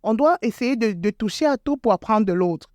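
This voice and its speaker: Woman, high voice, salon owner, halting, repeats words, dry close sound. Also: expressive, darkish tone, no room sound. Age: adult with school-age children. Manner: hesitant, many fillers and repeated words. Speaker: beauty salon owner, guest. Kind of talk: conversational interview answers.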